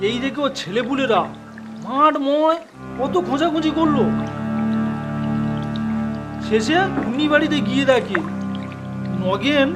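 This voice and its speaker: Man as a high-pitched rustic village storyteller. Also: a little slow, long pauses. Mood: grave storytelling. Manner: rustic, telling events step by step. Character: village gossip recounting a ghostly incident. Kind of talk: cartoon storytelling. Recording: loud music under the voice.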